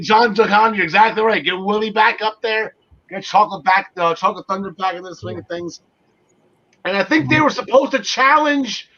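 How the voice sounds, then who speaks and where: A man hosting a wrestling panel show, recapping events with animated, emphatic delivery on slightly muffled audio. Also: sits high. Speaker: podcast host.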